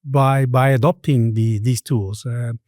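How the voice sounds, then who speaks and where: A middle-aged man from the Middle East and Sweden speaking reflectively as a podcast guest, with halting phrasing and repeated words. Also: mid-range voice, steady tone, darkish tone, no room sound, clean studio sound. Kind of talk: reflective interview conversation.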